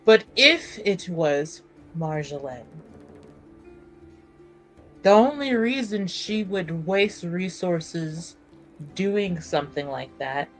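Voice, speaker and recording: A woman's voice: fairly low pitch, lively intonation, slow, pauses often, faint music behind, low-quality recording. A woman casually talking through events as a player in a tabletop roleplaying game.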